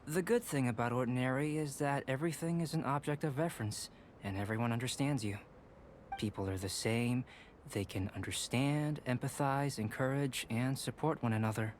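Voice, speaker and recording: An actor playing a calm, composed young alchemist who explains things in a measured, scholarly way. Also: male voice, medium pitch, slight background noise, close to an everyday voice.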